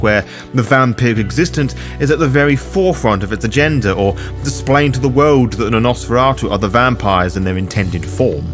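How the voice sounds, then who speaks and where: Man narrating with lively, swooping pitch. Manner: wry, casually sweary asides. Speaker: host of a roleplaying game guide. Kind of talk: scripted explainer narration.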